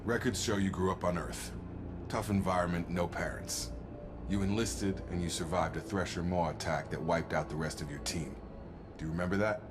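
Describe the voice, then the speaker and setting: A male actor as a loyal, plain-spoken soldier in a sci-fi game. His voice is deep, frank and reassuring, with music clearly behind it. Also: fairly even intonation, moderate pace, lightly acted. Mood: sober. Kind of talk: video game cutscene acting.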